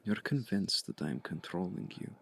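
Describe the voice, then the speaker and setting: A man playing a seductive, domineering vampire hypnotist in an intimate ASMR roleplay, speaking in a hushed, deliberate, breathy voice that often slips toward a whisper. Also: animated, heavily acted. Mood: seductive, coaxing. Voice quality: low, breathy, gravelly, often slipping into whisper. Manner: deliberate, commanding, coaxing with long pauses.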